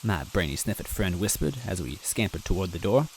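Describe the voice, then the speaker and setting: A man narrating a story in first person in a wry, measured way. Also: sits low, animated, breathy edge, low-quality recording. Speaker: story reader.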